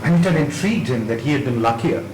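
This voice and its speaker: A man reading literary fiction aloud in a dramatic narrating style, with measured phrasing. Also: medium pitch, expressive. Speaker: reader at a dramatised book reading. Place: indoor event room at a live reading. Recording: echoey room with clear background noise.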